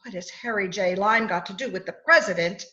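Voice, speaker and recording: A woman's voice: mid-range voice, fairly quick, pushed and bright, natural voice. A woman playing a haughty, exasperated fiancee in old-time radio drama style, theatrical and insistent, on dull video-call audio. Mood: confiding, insistent.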